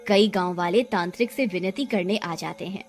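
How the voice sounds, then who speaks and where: A woman's voice: lowish voice, normal speed, faint music behind. A woman narrating a scripted moral story as a storyteller.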